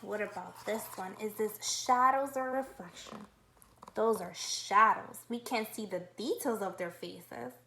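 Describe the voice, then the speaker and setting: Female kindergarten teacher for a read-aloud lesson: crisp bright tone, instructive, question-led, slight background noise. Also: medium pitch, unhurried. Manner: upbeat, instructive, asks guiding questions.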